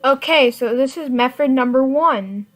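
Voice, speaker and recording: High-voiced woman giving a halting, noisy tutorial. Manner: informal, halting step-by-step instructions. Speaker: tutorial presenter. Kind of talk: casual screen-recorded software tutorial. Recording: noisy home computer mic, clicks.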